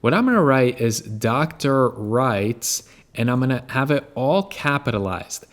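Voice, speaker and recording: A man hosts a screen-share software tutorial. He speaks at a medium pitch in a casual, explanatory style, talking the listener through each step. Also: lively intonation, a little slow.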